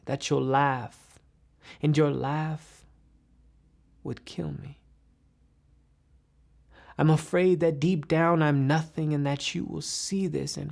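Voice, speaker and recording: A woman recites poetry in a low voice with a gravelly creak. Her delivery is very slow and deliberate, dramatic and expressive, with phrase endings that tend to sink into a breathy hush. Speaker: poetry reader.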